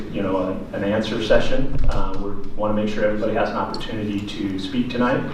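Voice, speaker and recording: A man's voice echoes heavily through a meeting room, picked up from far off. He speaks on the low side as the chair of public comment, measured and a little hesitant, explaining things in plain but formal terms. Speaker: city council chair running public comment. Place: council chamber at city hall. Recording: echoey meeting room from afar, faint hiss.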